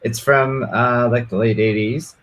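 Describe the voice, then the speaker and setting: Guy explaining a project casually, mid voice, kinda halting and unsure. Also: medium pitch, fairly even intonation, fairly bright tone. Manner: hesitant, halting. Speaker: guest demonstrating a project. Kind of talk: casual show-and-tell demo.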